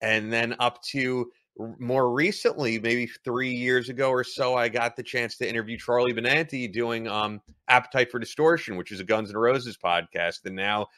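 A man's voice: medium pitch, steady tone, moderate pace, few pauses, sharp tone, no echo. A man in his thirties hosting a podcast interview, wrapping up by plugging links and release dates. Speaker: podcast host.

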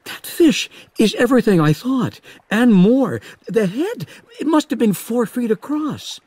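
A man doing an eager, high young scientist. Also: lively intonation, a little slow, darkish tone, no room sound, exaggerated voice. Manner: eager, enthusiastic. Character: excitable young male marine scientist. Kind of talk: audiobook character voice.

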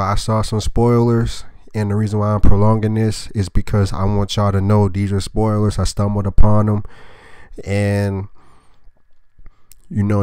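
A man's voice: on the low side, a little mellow, smooth, no room sound, studio quality. Congested young man ranting bluntly. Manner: blunt, profane, rambling with filler words. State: congested, nasally from a cold. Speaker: anime review channel host. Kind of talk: casual vulgar rant to camera.

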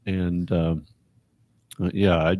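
A man answering as a mayoral candidate at a public forum, measured and hesitant. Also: deep voice, level tone, a little mellow.

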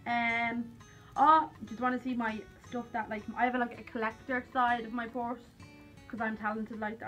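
A young woman vlogger with an Irish accent talks casually to camera. Her voice is bright and a little on the high side, and she wanders into self-deprecating asides. Music plays faintly behind her. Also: poor audio. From Ireland. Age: young adult. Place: small cluttered room at home, indoors.

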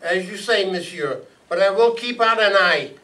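Polite, sinister French restaurant man played by a male actor, put-on French accent, high-pitched, formal. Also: expressive, normal speed, sharp tone. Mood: menacing. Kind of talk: old-time radio acting before an audience. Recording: live stage pickup from far off.

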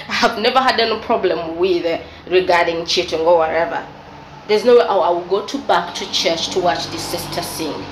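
Preachy woman scolding in an echoey room. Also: medium pitch. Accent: East African English. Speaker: vlogger. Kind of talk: opinion commentary vlog. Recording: echoey room with faint noise.

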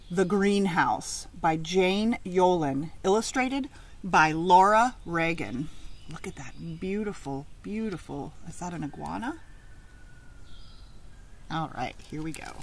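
A middle-aged woman reads a children's picture book aloud outdoors, with expressive, emphatic, sing-song phrasing. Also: lowish voice, slow, a little hiss or hum. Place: outdoors in a leafy garden.

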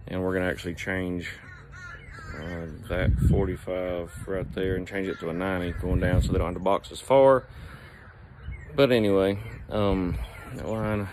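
A man talks into a phone held at arm's length, outdoors by a brick building. His voice sits a bit low, and his delivery is relaxed and rambling, full of fillers. Faint outdoor sounds and the odd bird call sit behind him. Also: normal speed, noisy background. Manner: easygoing, rambling talk with fillers. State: sore back from heavy lifting. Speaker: plumbing company owner. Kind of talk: casual selfie vlog.

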